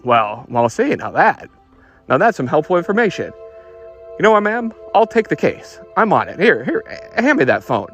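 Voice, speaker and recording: Casting: a man acting a wisecracking 1940s gumshoe narrator, very animated pitch, clipped noir slang, faint music behind. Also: moderate pace. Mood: wry, brisk. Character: hard-boiled 1940s male private detective, wisecracking noir narrator. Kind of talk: 1940s detective radio parody.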